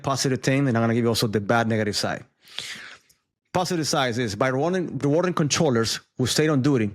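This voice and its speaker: Man explaining the news in conversational asides. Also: mid-range voice. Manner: conversational, explanatory, addresses viewers with questions and asides. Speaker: host of a news commentary channel. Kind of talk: news explainer commentary, semi-scripted.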